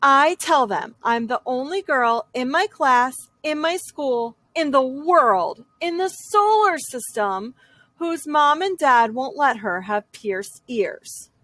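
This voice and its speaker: A woman with a high voice reads a children's storybook aloud. She takes it slowly, stretching key phrases for dramatic effect. The sound is dry, with no room echo. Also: lively intonation, a bit bright. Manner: dramatic, drawn-out emphasis on repeated phrases. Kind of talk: expressive children's picture-book read-aloud in a young girl's first person.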